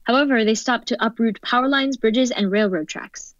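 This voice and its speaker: A woman's voice: a little high, moderate pace, no room sound, lightly acted. A woman playing a sober radio news announcer, reading urgent bulletins formally in a radio play. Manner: formal, telegraphic delivery. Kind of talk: scripted radio play newsreading. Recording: dull, dry lo-fi recording.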